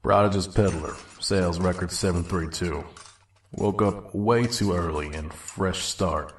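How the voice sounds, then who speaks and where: A man playing a weary, guarded pony trader in an audio drama, with a gruff, dry, terse way of talking. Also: low voice, unhurried, a little hiss or hum. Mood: weary, grumbling. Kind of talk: audio drama narration and dialogue.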